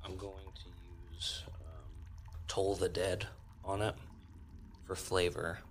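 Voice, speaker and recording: A man's voice: low voice, level tone, slow. A man playing a tabletop game, talking casually as he takes his turns.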